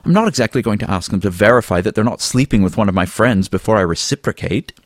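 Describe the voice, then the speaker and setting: A man playing a vain, theatrical weasel with swooping pitch. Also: lively intonation, fast, dry recording, studio quality. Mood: irritated, defensive. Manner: haughty, florid, wordy, drawling endearments. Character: vain, flirtatious, theatrical male weasel, smugly unrepentant. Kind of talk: audiobook character dialogue.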